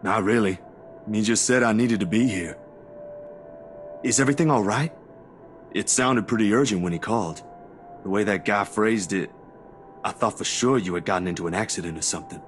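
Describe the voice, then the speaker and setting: A man's voice: lowish voice, normal speed, pauses often, a little hiss or hum, lightly acted. An actor in a story game playing a simple, caring man who talks in a plain, polite, reassuring way. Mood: puzzled, concerned. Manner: plain-spoken, reassuring, informal. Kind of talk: dramatic game dialogue.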